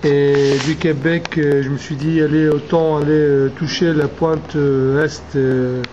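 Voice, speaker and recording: A man with a French accent narrating his road trip in a slow, hesitant way, pausing often with fillers and restarting words. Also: fairly high pitch, flat delivery, mellow. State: tired. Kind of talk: casual travel narration. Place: in a car and outdoors by a river. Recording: dull lo-fi sound with clear noise.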